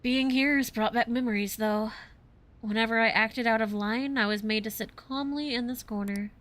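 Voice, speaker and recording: An actor playing a refined, earnest young male art student in a video game, speaking in formal, measured phrasing. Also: very high-pitched, steady tone, a bit bright. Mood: solemn.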